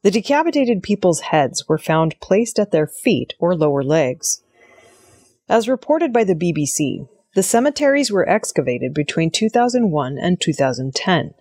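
Low-voiced woman reading scripted news. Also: very animated, moderate pace, a little mellow, dry recording, studio quality. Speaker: news reader.

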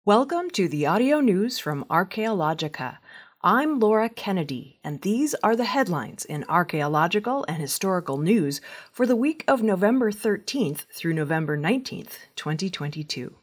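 A woman's voice: medium pitch, very animated, normal speed, slightly rough. A woman reading scripted news as a newsreader. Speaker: news reader.

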